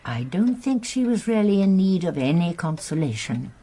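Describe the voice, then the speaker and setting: Old english lady detective for radio play, low mellow voice, gentle but shrewd. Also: sing-song, husky. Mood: sceptical, certain. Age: older. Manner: gentle, measured, genteel. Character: shrewd, courteous elderly lady amateur sleuth. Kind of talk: radio drama acting.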